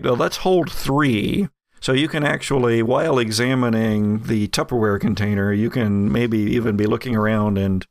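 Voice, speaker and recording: Man, game master, rambling narration, gravelly, dry close mic. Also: on the low side, no room sound. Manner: relaxed, rambling, with many fillers.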